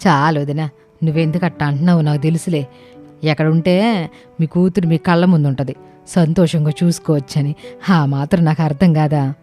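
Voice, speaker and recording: A woman voicing an affectionate older mother-in-law in an animated village story, speaking in rustic, colloquial phrases over music. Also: sits low, fairly quick, close to an everyday voice. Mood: teasing. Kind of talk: acted dialogue in animated comedy story. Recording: music under the voice.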